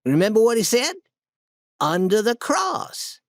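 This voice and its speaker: A reader putting on a bold young boy adventurer, pitched well up and bursting with insistent excitement. He talks in lively, swooping lines, with a dry, close sound in a storybook reading. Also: measured pace, no echo. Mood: eager.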